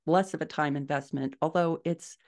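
A middle-aged woman giving measured, explanatory answers as a guest author in a conversational interview over a video call. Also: low voice, fairly even intonation, darkish tone. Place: small furnished room at home, indoors.

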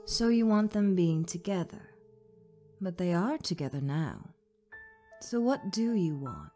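A woman voicing a towering gem matriarch in a comic dub, slow and condescendingly sweet, with regal menace. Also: lowish voice, sing-song, mellow, faint music behind. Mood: soft, indulgent.

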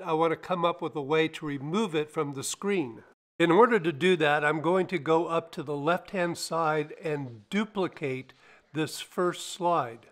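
An older man's slightly high voice leads the viewer through a screen-recorded tutorial. He is calm and methodical and explains each click in turn as he does it. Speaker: tech tutorial host.

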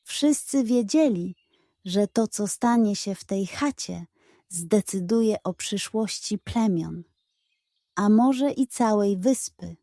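Female narrator for children's bedtime tales, slow-paced and warm in tone. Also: mid-range voice, lively intonation, no room sound, studio quality. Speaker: storyteller.

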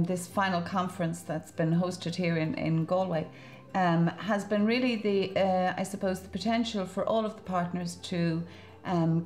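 A woman's voice: on the low side, a little mellow. A woman in her forties giving a formal interview answer in a small office.

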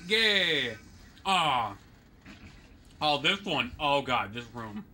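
A man co-hosting a game let's play, joking and teasing the player with animated commentary. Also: a little high, extremely slow, a little hiss or hum. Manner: teasing, animated, exclaims and laughs often.

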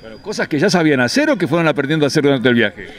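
Brisk male street reporter. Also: a little high, big pitch swings. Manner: brisk, prompting questions. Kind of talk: street interview questions. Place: outdoors on a street.

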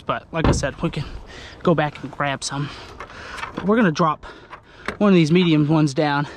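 Young man, high voice, chatty fishing vlog, outdoors on a lake, action cam, light background noise. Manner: chatty, excitable, explains as he works. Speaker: fishing vlogger. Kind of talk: casual fishing vlog narration. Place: small boat on a lake outdoors. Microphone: action camera on boat.

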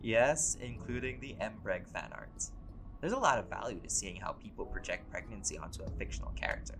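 A man playing a laid-back, centuries-old vampire scholar in an audio roleplay, talking in a relaxed, conversational and wryly playful way. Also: on the low side, a little fast, creaky, background noise. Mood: musing, wry. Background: music.

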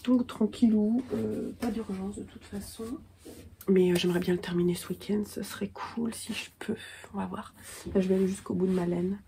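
A woman's voice: mid-range voice, fairly even intonation, moderate pace, vocal fry, dark tone, a little hiss or hum. Woman with a cold chatting to camera. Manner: chatty and self-mocking, many hesitations. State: has a cold. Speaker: knitting vlogger and yarn dyer. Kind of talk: casual chat to camera. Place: small room at home, indoors.

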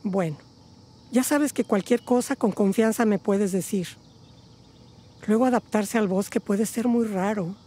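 A woman's voice: mid-range voice, a little slow, mellow, husky. A woman playing an overly friendly, uneasy neighbour, hesitant and solicitous, in an audio drama. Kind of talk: naturalistic audio fiction acting.

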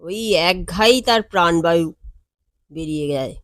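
A woman voicing a composed, world-weary male lawyer in an audio story, speaking in a measured, matter-of-fact and wry way. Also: on the low side, fairly bright tone, dry recording, clean studio sound, pitched below the performer's own voice, natural voice.

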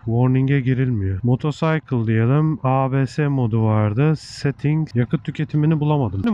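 A man's voice: fairly high pitch, a little mellow, muffled recording. A man talking casually while riding a motorcycle through city traffic, thinking aloud as he reviews the bike in an informal, chummy way. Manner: chatty and informal, calls the viewer "abi", muses aloud.

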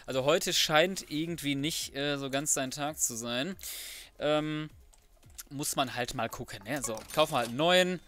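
A man's voice: mid-range voice, fairly bright tone. A man giving casual, colloquial let's play commentary, muttering to himself as he goes. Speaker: gaming video host.

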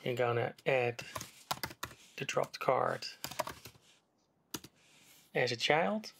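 Man, mid pitch, gravelly, walking through code calmly for a tutorial; faint hiss, typing and clicks underneath. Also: extremely slow. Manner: relaxed, step-by-step explaining while typing. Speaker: game development tutor. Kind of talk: screen-recorded coding tutorial. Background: keyboard typing and mouse clicks.

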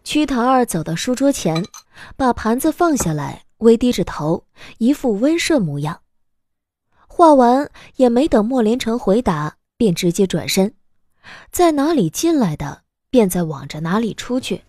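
A woman's voice: fairly high pitch, big pitch swings, a little slow, darkish tone, no echo, clean studio sound. A woman narrating a scripted story as the narrator of an audio drama.

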